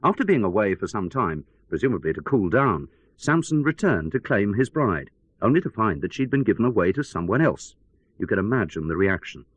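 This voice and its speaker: A man's voice: on the low side, sing-song, brisk, husky, no room sound, dull audio. A man playing a radio news correspondent, telling events as a dramatic, wry and emphatic report. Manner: wry, theatrical, emphatic storyteller.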